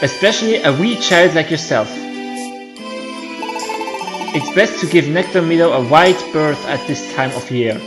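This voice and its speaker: A man's voice: a little high, unhurried, pauses often, music in the background, natural voice. Man as a folksy, cautioning village creature.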